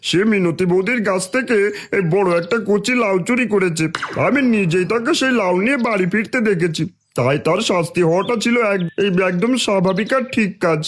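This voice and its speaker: A man voices a crafty village false witness for a cartoon tale. The voice is high, smug and gossipy. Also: brisk, slight background noise, natural voice. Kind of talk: Bengali fairy tale cartoon dialogue.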